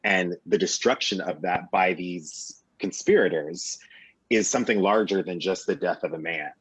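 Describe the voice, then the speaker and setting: A man's voice: sits low, normal speed, a bit bright, breathy edge, dry recording, rough recording. A man speaking as a guest on a panel, analysing a play and building his points in emphatic parallel phrases.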